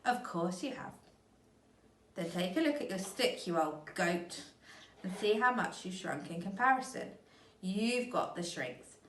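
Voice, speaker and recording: A woman's voice: on the low side, animated, unhurried, fairly bright tone, slight background noise. A woman voicing a sly, nasty old man who taunts his wife, in an echoey room. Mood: taunting. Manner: gloating, taunting. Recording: echoey room, mic at a distance.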